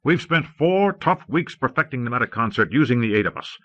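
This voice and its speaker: A man's voice: medium pitch, animated, fairly quick, dry recording, pitched above the performer's own voice, natural voice. Man playing an impatient commanding magnate, muffled tape. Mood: exasperated. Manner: forceful, exasperated, articulate. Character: commanding, impatient magnate browbeating his younger-looking brother. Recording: muffled old cassette audiobook transfer.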